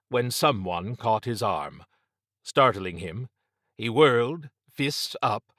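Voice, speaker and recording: A man's voice: mid-range voice, a bit bright, dry recording. A man reading scripted dramatic fiction as an audiobook narrator, close to the microphone.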